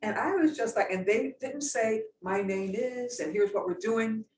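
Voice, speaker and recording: A woman's voice: on the high side, moderate pace, darkish tone. A woman pastor on a panel, telling a story in an earnest, reflective way. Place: event room. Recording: roomy distant sound with faint noise.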